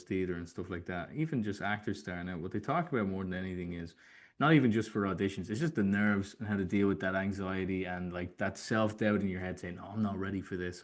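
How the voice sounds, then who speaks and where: A man hosting a podcast, chatting casually and putting his points in an informal, rambling way. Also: deep voice. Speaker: podcast host. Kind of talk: casual interview chat.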